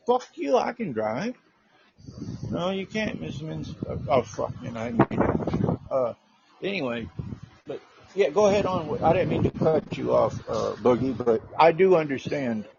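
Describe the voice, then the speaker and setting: A man's voice: fairly high pitch, expressive, a little slow, creaky. A man in his fifties chatting casually, blunt and rambling, with a lot of swearing. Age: 50s. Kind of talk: casual chat, profane. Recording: muffled lo-fi audio, noisy background, clicks.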